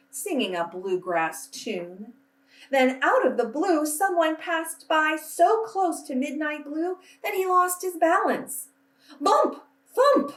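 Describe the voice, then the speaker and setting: A woman reading aloud to children as a storyteller, with a deliberate, simple delivery. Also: fairly high pitch. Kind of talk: children's picture book read-aloud with casual introduction. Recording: roomy echo, distant microphone.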